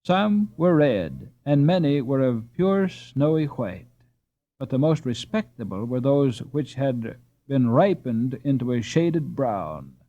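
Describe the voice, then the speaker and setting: Male audiobook narrator for classic children's fiction: expressive and deliberate, warm dark tone, a little lo-fi. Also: medium pitch. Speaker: audiobook reader. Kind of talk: scripted storybook narration.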